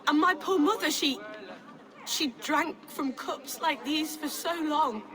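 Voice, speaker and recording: An actress playing a sorrowful, knowing woman in a Viking-age video game, speaking haltingly and softly. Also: high voice. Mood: dawning sorrow.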